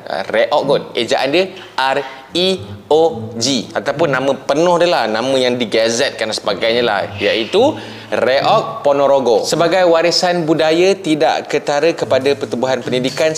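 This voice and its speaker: A young Malaysian man with a Malaysian Malay accent, giving a casual, sarcastic commentary vlog. He mocks, mutters low, then jumps up to high exclaims. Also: slight background noise. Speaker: YouTube commentator.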